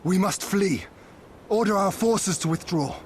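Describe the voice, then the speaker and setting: An actor voicing a grave, resolute military leader in a video game, solemn and commanding. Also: male voice, sits high, unhurried, a little hiss or hum. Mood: grave, urgent. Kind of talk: dramatic video game cutscene acting.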